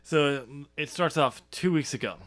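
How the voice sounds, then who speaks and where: A man hosting a podcast in casual discussion, halting and amused as he talks. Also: on the high side, fairly bright tone. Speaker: podcast host and author.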